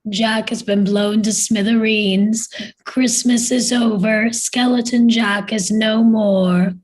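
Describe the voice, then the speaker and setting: Lady doing a worried two-faced mayor, slow gloomy announcing, table read style. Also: fairly high pitch, fairly even intonation, a little mellow. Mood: doom-laden announcing. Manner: declamatory. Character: two-faced male mayor, fretful politician announcing doom. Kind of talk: live screenplay table read.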